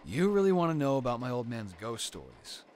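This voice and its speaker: Young boyfriend, played by a male actor, mid-pitched and expressive, reassuring and gently teasing, intimate comfort audio with light ambient noise. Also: fairly quick, natural voice. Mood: teasing, reminiscing. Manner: soft reassuring talk with sighs and teasing.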